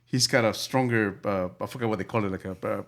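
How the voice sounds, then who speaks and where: A man from El Salvador hosting a casual movie review podcast, talking in halting bursts full of fillers and restarts. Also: on the low side, vocal fry, fairly bright tone. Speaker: podcast host.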